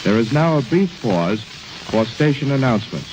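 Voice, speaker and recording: A male radio announcer making formal introductions in a deliberate, stately 1920s broadcast style. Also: mid-range voice, very animated. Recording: crackly, hissing 1920s radio recording.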